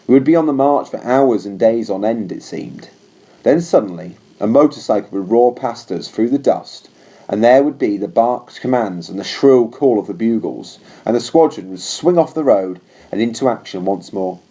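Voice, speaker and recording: A man's voice: lowish voice, expressive, normal speed, mellow, breathy edge. A man reading a scripted story aloud as narrator of an audiobook. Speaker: audiobook reader.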